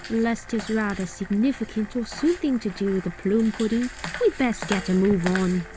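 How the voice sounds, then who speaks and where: A woman playing a bossy yet kindly, cheeky kitchen cook in an audio drama, brisk and colloquial. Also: mid-range voice, over music, natural voice, low-quality recording. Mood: bossy, teasing. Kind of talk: audio drama period acting.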